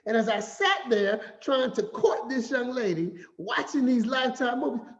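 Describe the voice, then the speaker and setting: A man giving a finance talk as an animated, playful storyteller who acts out quotes. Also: very high-pitched, moderate pace. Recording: echoey room, slightly dull sound.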